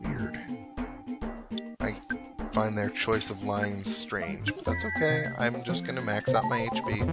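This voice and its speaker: A man's voice: on the low side, steady tone, measured pace. A man hosting a video game playthrough, giving casual commentary with wry, dry-humoured asides. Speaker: host of a gaming playthrough series. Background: video game music.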